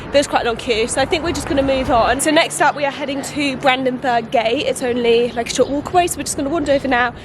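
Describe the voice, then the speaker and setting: A woman's voice: sits high, fast talker, few pauses. A woman with a stuffy, congested voice from a cold, chatting casually as she vlogs her city trip outdoors. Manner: chatty, with filler words and laughs. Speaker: travel vlogger. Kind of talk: casual travel vlog chat. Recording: outdoor street noise.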